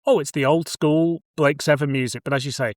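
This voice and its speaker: A man's voice: a little high, fairly even intonation, fairly quick, no room sound, studio quality. A man hosting a podcast, chatting casually through a review and thinking aloud as he hedges his points. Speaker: podcast host.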